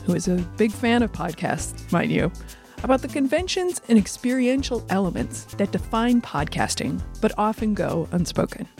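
A woman's voice: medium pitch, a little mellow. A woman hosting a podcast, introducing the show and putting questions to a guest. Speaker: podcast host and web editor.

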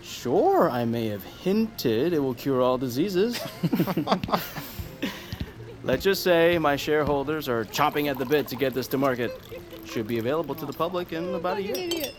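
A man playing a dashing, self-satisfied celebrity surgeon in an audio drama, casual and smooth-talking. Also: on the high side, very animated, moderate pace. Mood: smug.

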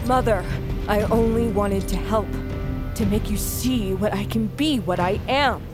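A woman's voice: medium pitch, unhurried, over music, natural voice. A woman playing a fiery young huntress daughter, impassioned and defiant, in a cinematic fantasy audio drama. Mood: hurt pleading.

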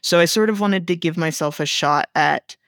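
A woman's voice: on the low side, normal speed, clear, resonant tone, no room sound, clean studio sound. A woman speaking as an interview guest, explaining her creative work in a casual conversational way.

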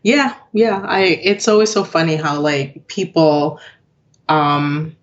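Guest interviewee, a low-pitched woman, conversational, often laughing and voicing other people. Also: steady tone, slow pace, lo-fi sound, slight background noise. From born in Jamaica, raised in western New York. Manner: chatty, laughs often, acts out quoted voices. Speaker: guest, digital product owner. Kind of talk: casual interview chat.

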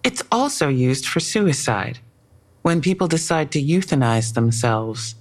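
A woman's voice: low-pitched, animated, a little slow. An actress playing a cool female police detective, dry and accusatory as she questions a suspect. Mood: probing, accusatory. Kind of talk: audio drama interrogation.

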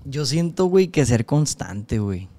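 A man's voice: mid-range voice, big pitch swings, unhurried, dark tone. A man, a podcast guest chatting casually in slangy speech. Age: 20s. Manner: slangy, says "güey" constantly, laughs often. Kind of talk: casual chat.

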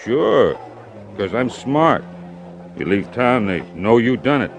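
An actor playing a simple-minded young man who poisoned someone and brags about his cunning, in halting, childlike speech. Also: mid-range voice, sing-song, creaky, over music, full character voice. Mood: boastful. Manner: slow, halting, childlike boasting. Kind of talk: 1950s radio drama acting. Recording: old radio broadcast recording.

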